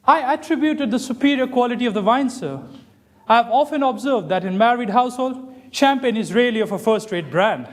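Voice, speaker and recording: A young man playing a formal, deadpan, dry-witted Victorian manservant in a stage comedy. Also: very high-pitched, fairly quick. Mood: deferential, deadpan. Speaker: student actor in a stage play. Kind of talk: stage comedy of manners acting.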